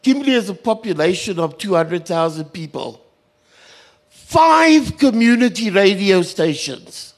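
A South African man speaks on a panel in a high, widely swinging voice. He is indignant and emphatic, with a sarcastic edge. He repeats key phrases to drive them home. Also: big pitch swings, unhurried. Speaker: community radio sector representative on a panel. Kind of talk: impassioned panel speech.

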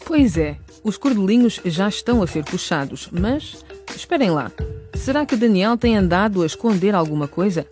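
A woman's voice: on the low side, darkish tone. A woman reading scripted narration for a radio drama, recapping and introducing scenes.

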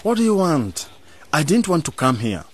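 Devoted stubborn village man, husky voice, lively up and down, radio play. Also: on the high side, normal speed. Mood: firm, affectionate. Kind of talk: radio drama dialogue.

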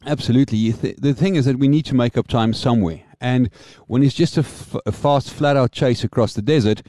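A man from South Africa with a South African accent, co-hosting a podcast and explaining things in a measured way. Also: medium pitch, mellow, no room sound, clean studio sound. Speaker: podcast co-host, motorsport pundit. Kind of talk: casual analytical chat.

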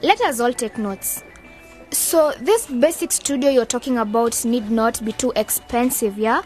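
Lady acting a keen young woman in a radio play, really high voice, earnest, faint music behind. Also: a little slow, a little mellow, natural voice. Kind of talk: scripted educational radio drama.

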